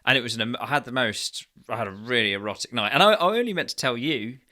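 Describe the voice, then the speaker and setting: A British man talks in a loose, conversational podcast style. His voice has a clearly bright, crisp edge. He tends to ramble and cut across his own sentences. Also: medium pitch, lively intonation, quick pace. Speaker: podcast co-host. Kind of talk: casual podcast chat.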